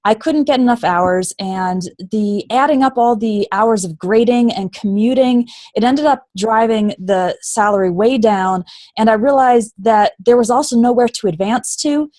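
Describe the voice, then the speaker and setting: A woman's voice: a little high, measured pace, a little mellow, no echo. Fluent woman guest, dry close sound. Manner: clear, fluent storytelling. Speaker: online English teacher, guest. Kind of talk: interview answers.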